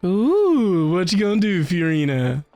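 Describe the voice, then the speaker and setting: A woman reacting casually to a video game, talking in an animated, slangy way. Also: fairly low pitch, measured pace, soft background music.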